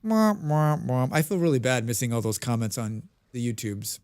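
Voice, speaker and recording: A man's voice: mid-range voice, normal speed, a little mellow, clear, resonant tone. An American man chatting casually with his viewers while playing a game on a live stream, reading and answering their comments. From United States.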